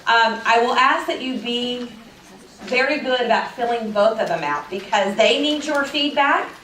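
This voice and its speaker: A woman's voice: fairly high pitch, measured pace, a little hiss or hum. Woman, 40s, friendly and chatty, echoey room, distant mic. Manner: friendly, chatty, says she is naturally loud. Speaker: professional organizer giving a talk. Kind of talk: casual presentation to parents. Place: school event room.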